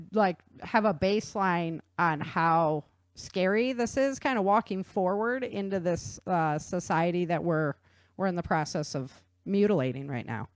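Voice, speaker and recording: A woman's voice: medium pitch, no echo. Woman host, casual loose talk. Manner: loose, with fillers and short backchannels. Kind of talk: casual interview.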